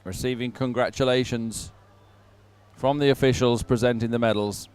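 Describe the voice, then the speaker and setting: A man giving live sports commentary at a regatta in an informal, halting way with hesitant pauses. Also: medium pitch, steady tone, darkish tone, clear, resonant tone.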